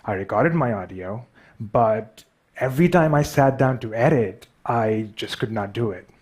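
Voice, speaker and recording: Guy with a pakistani accent, bit husky, stuttery, jokes at his own expense. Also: mid-range voice, expressive, a little slow, a little mellow. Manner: stutters, self-deprecating. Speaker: speaker. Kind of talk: storytelling talk.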